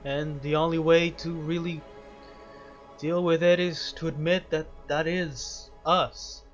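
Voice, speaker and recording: Man, fairly high voice, casual gaming commentary, reflective, halting repeats, dull lo-fi mic, faint music. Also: little pitch movement. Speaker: gaming video host.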